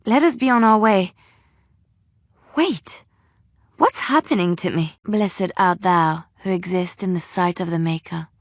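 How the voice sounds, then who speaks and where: A woman playing a devout, gentle, romantic young companion in a fantasy video game, speaking in formal, earnest phrases. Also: on the low side, unhurried, no room sound. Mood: dazed, distressed.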